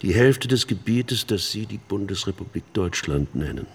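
An actor playing a sinister, smug older man in a radio play, speaking in a raspy voice with a deliberate, insinuating, condescending manner. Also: on the low side, expressive, exaggerated voice. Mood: pompous, assured. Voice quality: husky, raspy. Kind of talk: radio drama dialogue.

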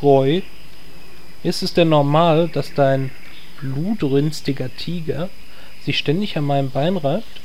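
A man's voice: fairly high pitch, slow, darkish tone, a little hiss or hum. A man playing a vain, dryly sarcastic, exasperated druid in a comedic audio drama. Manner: dry, hesitant, sarcastic.

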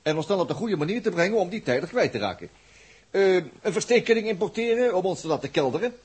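A man's voice: high voice, sing-song, quick pace, darkish tone, husky, full character voice. An actor in a 1960s radio drama playing an informal spaceship crewman who rambles colloquially with small hesitations. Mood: puzzled.

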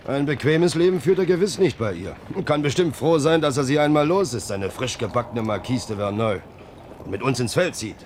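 An actor playing a gruff, practical soldier gossiping on campaign in a German radio play. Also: male voice, mid-range voice, lively intonation, brisk, a little mellow, slight background noise, natural voice. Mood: gossipy. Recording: 1970s radio drama, faint outdoor ambience.

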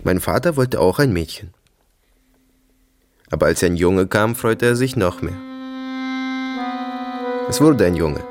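A young man playing a haunted soldier lost in fragmented memories, speaking haltingly in a radio drama monologue. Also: low-pitched, lively intonation, a little mellow, soft background music. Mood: haunted brooding. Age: young adult.

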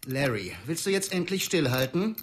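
An actor playing a brisk, cold male dentist who gives curt commands, in a radio drama. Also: a little high, big pitch swings, slight background noise.

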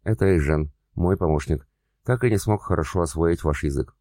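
A man's voice: deep voice, normal speed, dark tone, no echo, studio quality, natural voice. A man voicing a coy young woman posing as heiress.

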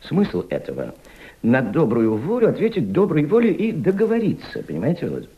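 An actor playing an impassioned senior Soviet diplomat in a radio drama, mentoring a young subordinate with emphatic, didactic rhetoric and deliberate repetition. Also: male voice, on the high side, huge pitch swings, highs cut off. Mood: firm, instructive. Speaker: actor in an audio play.

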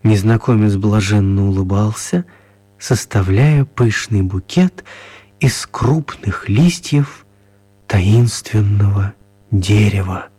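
An actor playing an author who narrates his children's story in a radio play, mellow and husky. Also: on the low side, takes it slowly. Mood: storytelling.

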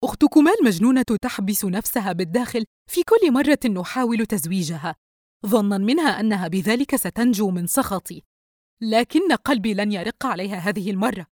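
A woman's voice: medium pitch, lively intonation, fairly quick, darkish tone, dry recording, studio quality, close to an everyday voice. A woman voicing a stern, cold, fed-up mother who gives curt commands in a dramatised audio story. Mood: indignant.

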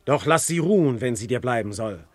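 An actor playing a majestic, lofty god in a classical verse radio drama, declaiming solemnly. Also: male voice, medium pitch, expressive, a little fast, no room sound, lightly acted. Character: commanding god Zeus in a husband's likeness, majestic, lofty.

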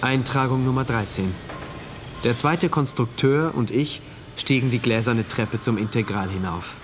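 A man's voice: medium pitch, unhurried, lightly acted. An actor as a brooding male diarist, music behind. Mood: brooding, detached. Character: troubled male diarist narrator in a dystopia. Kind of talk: literary radio play narration in diary entries.

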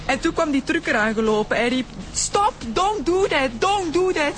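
A woman's voice: high voice, sing-song, moderate pace. An actress playing a frightened, shaken woman witness in a naturalistic radio drama. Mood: agitated.

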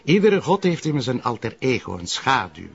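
An actor playing an earnest, talkative police colleague with a Flemish accent, explaining things in a TV crime drama. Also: male voice, mid-range voice, sing-song, moderate pace, vocal fry, slightly rough, muffled recording, heavily acted. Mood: earnest, explanatory. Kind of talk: naturalistic TV crime drama dialogue.

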